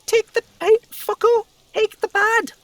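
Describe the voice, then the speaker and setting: A man's voice: very high voice, steady tone, measured pace, a bit bright, voice pushed up, full character voice. A man voicing a vicious little street girl in a video game, screeching hostile commands and curses. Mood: vicious, furious.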